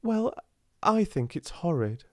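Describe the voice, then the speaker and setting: A man's voice: sits high, big pitch swings, slow pace, a little mellow, dry recording, studio quality, pitched above the performer's own voice, exaggerated voice. A man with a British accent voicing a silly but kindly schoolgirl in an audiobook, earnest and inquisitive. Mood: anxious, indignant.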